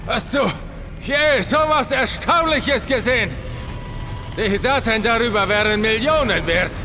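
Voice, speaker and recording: An actor playing a greedy, cynical mercenary villain in an audio drama, taunting and gloating. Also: male voice, extremely high pitch, expressive, measured pace. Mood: mocking awe. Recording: loud music under the voice. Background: dramatic music.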